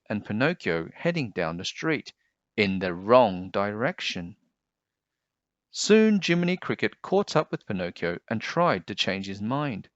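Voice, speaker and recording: Deep, animated male storybook narrator. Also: no room sound, highs cut off. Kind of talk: expressive children's read-aloud narration.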